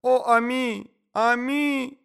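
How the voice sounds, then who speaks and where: Man putting on a hysterical, high-pitched young lady. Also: steady tone, extremely slow, vocal fry, a bit bright, clean tone, full character voice. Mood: wailing. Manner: wailing, exclamatory, swooning. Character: hysterical, melodramatic young lady doting on lapdog.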